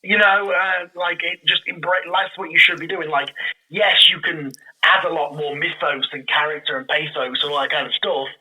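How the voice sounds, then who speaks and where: A man talking casually and enthusiastically as a podcast guest, explaining things in an animated, rambling way. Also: on the high side, normal speed, husky, low-quality recording. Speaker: podcast guest, audio drama creator. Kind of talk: casual, enthusiastic fan chat.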